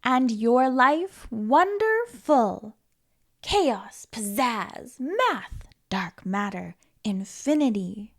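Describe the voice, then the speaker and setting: A woman reading a children's picture book aloud in a gentle, playful, reassuring way, sometimes giggling. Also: on the high side, big pitch swings, extremely slow. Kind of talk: read-aloud storytime.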